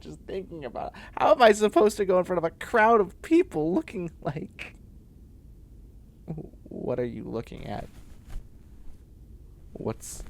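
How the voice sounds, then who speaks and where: A man's voice: medium pitch, full character voice. A man playing a flustered, sardonic young man turning into a catboy in a comedic sci-fi audio roleplay, stammering with exasperation and sarcasm. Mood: indignant, then panicked. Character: flustered, sardonic young man sprouting cat ears and tail.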